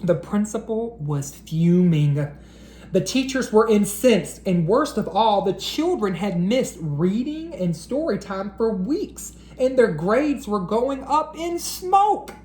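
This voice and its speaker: A woman reading a children's picture book aloud in an expressive, unhurried storyteller's voice. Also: medium pitch, echoey room.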